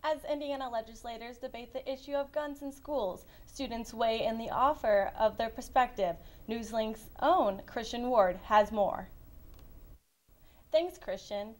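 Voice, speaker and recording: A young woman reading scripted news as anchor, handing off smoothly to reporters. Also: fairly high pitch, measured pace. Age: young adult.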